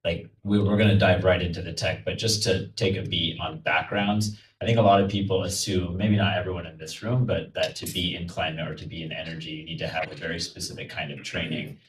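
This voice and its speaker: A man's voice: deep voice, steady tone, brisk. A man moderating a panel in a casual, reflective and wordy way, often restarting his sentences. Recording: echoey event room, distant mic, noise.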